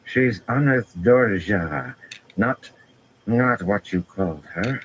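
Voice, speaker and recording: A man's voice: fairly low pitch, lively intonation, slow pace, slight background noise, voice pushed up, low-quality recording. A man voicing a defiant, gray-haired woman captor who speaks in a twangy drawl, in an audiobook. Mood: insistent. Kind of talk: audiobook character voice.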